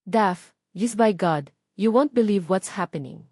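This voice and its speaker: A woman's voice: medium pitch, expressive, unhurried, darkish tone, no room sound. A female narrator plays a spoiled young heiress in a storybook drama. She sounds desperate and bitter, pressing her words insistently in a dry, close recording. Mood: pleading.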